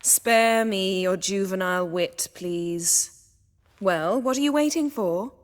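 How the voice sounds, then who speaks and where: A woman voicing an imperious, secretive, sharp-tongued sorceress in a video game, with commanding, clipped, formal diction. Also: mid-range voice, slow, in a large hall, natural voice.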